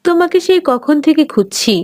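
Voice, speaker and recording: A woman voicing a patient, caring mother in a cartoon, gently admonishing. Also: high-pitched, animated, fairly quick, dry recording, clean studio sound. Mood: gently scolding. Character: patient mother gently scolding her daughter. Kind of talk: cartoon dialogue.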